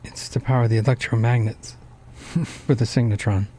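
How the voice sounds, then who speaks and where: A man's voice: medium pitch, fairly even intonation, moderate pace, warm tone, breathy edge, close to an everyday voice. A man voicing a nervous, obsessive amateur inventor in a horror story, hesitant and stammering, out of his depth. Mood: sheepish. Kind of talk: horror story character dialogue.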